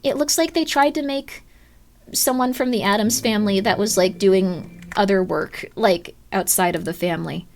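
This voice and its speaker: A young woman streaming live, chatting casually with viewers and reading their comments, rambling with many filler words. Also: normal speed. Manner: rambling, lots of "like" fillers, laughs often, swings up and down in pitch.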